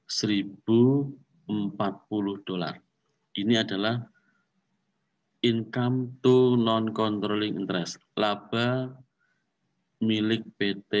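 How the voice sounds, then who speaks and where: A man's voice: lowish voice, far from the mic, rough recording. A man lecturing on accounting, walking step by step through calculations, repeating figures and mixing in English accounting terms.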